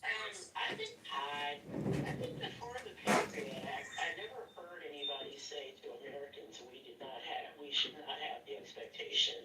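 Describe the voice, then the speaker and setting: Hesitant, apologetic man making a point at a meeting, heard from a distance in a roomy space. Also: on the high side. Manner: stammering, apologetic. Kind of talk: group discussion. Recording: echoey room, far from mic, faint noise.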